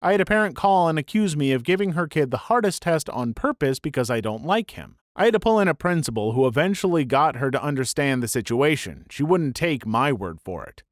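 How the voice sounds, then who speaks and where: A man reading a string of online stories aloud as a scripted narration, brisk and even with dry asides. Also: fairly high pitch, no echo.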